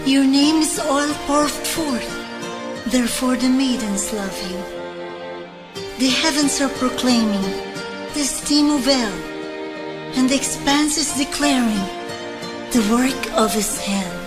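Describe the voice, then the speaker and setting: A woman delivering a devotional scripture reading in a measured, poetic recitation. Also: sits high. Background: music.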